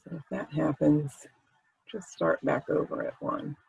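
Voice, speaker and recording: Male meditation guide: husky, breathy tone, very slow delivery, gentle and informal. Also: on the high side, level tone, no echo, rough recording. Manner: gentle, informal, unhurried phrasing. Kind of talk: guided meditation, conversational instruction.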